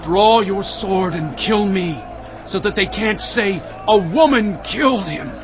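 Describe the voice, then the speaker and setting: A proud, fierce warlord from an ancient Bible drama. The male voice is pitched high and slow, intense and commanding, over clear music on slightly dull audio. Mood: desperate, proud. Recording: muffled audio with music underneath.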